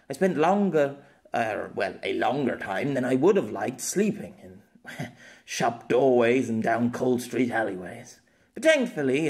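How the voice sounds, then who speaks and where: A man's voice: medium pitch, darkish tone. A man playing an awkward, good-natured male storyteller explaining himself in an audiobook, hesitant and rambling.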